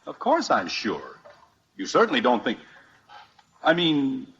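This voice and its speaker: A man's voice: mid-range voice, huge pitch swings, measured pace, a bit bright. A man playing a coaxing, easily exasperated middle-aged father in a 1950s radio family comedy. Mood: defensive. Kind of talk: 1950s radio sitcom acting. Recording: dull, hissy old radio recording.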